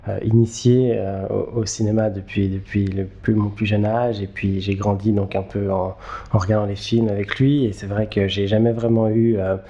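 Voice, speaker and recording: Man, lowish dark relaxed voice, chatting as a guest, lots of halting repeats. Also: muffled recording. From Geneva, Switzerland. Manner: hesitant, halting, repeats words as he thinks. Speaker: interview guest, filmmaker. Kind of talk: conversational interview answers.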